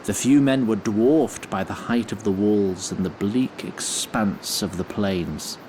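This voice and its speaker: A man's voice: fairly low pitch, slow pace, noisy background. A man playing a fussy, courtly wood sprite who narrates a fantasy audio drama in formal, theatrical language. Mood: grave storytelling.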